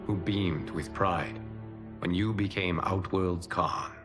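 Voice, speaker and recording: A man playing a noble, solemn warrior protector in a video game cutscene, speaking in a measured, formal and earnest way. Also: low-pitched, music in the background.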